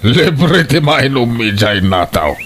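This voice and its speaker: A man's voice: mid-range voice, very animated, slow pace, a bit bright. A Filipino man playing a pushy, middle-aged, pleasure-loving uncle in a comedic radio drama, with a blustering, scoffing manner. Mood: teasing, coaxing. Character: pushy, carousing uncle who loves drink and fun. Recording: noisy radio drama track.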